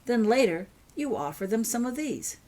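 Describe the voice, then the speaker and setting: A clever storybook girl, voiced by a woman, calm but stubbornly persistent. She presses her point insistently and speaks with quiet certainty. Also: a little high, moderate pace, voice pushed up. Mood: scheming.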